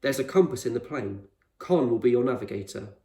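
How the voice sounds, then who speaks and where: A man's voice: medium pitch, animated, a little fast, dark tone, close to an everyday voice. Man reading as firm eccentric explorer, echoey room. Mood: firm, instructive. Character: eccentric grown male explorer, firm, proud, reassuring mentor. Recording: roomy echo, distant microphone.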